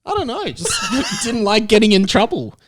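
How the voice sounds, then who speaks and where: A man's voice: high-pitched, wildly swooping pitch, moderate pace, raised voice. Joking, laugh-prone man chatting casually. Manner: joking, riffing, laughs readily. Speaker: podcast guest. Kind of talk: casual comedy chat.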